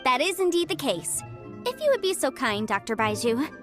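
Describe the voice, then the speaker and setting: A woman's voice: high voice, very animated, moderate pace, a bit bright. A woman playing a confident, breezy young legal advisor in a video game, speaking articulately. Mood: confident, businesslike. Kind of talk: video game character dialogue. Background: game music.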